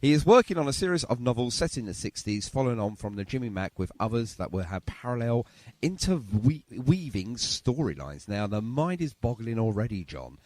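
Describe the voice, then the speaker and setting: A man's voice: medium pitch, sing-song, normal speed, darkish tone, dry recording. A man hosting a radio show in a jokey, rambling, self-deprecating chatty style. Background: music.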